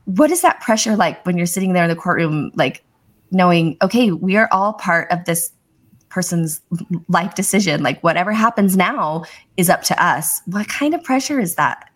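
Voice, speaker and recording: A woman's voice: fairly low pitch, lively intonation, moderate pace. A woman hosting a podcast, chatting casually in a bubbly way and laughing easily. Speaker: podcast host. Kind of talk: casual interview chat.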